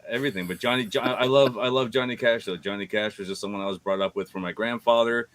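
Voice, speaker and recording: Laid-back deep-voiced man. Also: fairly even intonation, fast talker, fairly bright tone, no room sound. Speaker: voice actor guest. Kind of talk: casual chat.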